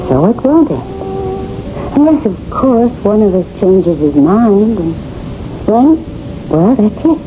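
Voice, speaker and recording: An actress playing a cool, teasing mistress with a languid, wry manner in a 1970s radio drama. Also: female voice, mid-range voice, sing-song, slow pace, long pauses, noisy background. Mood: cool, coaxing. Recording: muffled 1970s radio broadcast over music.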